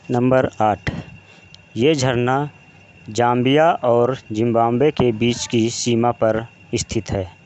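A man narrating a countdown video as a voice-over, in slow, measured scripted narration. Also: medium pitch, a little mellow. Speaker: channel narrator.

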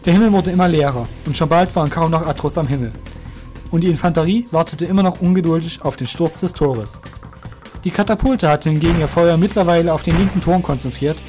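A man narrates a scripted fantasy tale as a storyteller. His voice sits a little high for a man. Faint guitar music plays behind him. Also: darkish tone.

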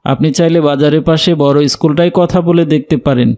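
Man playing a helpful office gentleman, fairly high voice, courteous, educated, animated cartoon dialogue. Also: quick pace, slight background noise, natural voice. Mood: reassuring, helpful.

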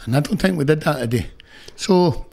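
A man's voice: fairly high pitch, animated, brisk, gravelly, a little mellow, slightly rough. A Scottish man in his sixties, a football manager giving a frank, annoyed post-match interview into a close stand mic in an indoor press room. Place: indoor press room before a sponsor backdrop. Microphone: stand mic close in front.

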